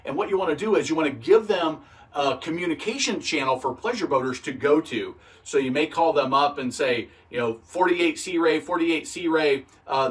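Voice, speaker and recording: A man's voice: on the high side, fairly bright tone, distant mic. A man giving a plain-spoken, practical, step-by-step instructional talk in a conversational style. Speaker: boating instructor and video host.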